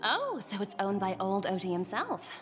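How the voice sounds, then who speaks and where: Playful, animated corporate director. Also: female voice, medium pitch, normal speed, faint music behind. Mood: polite, amused. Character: poised, playful young female corporate director. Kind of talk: video game voice acting.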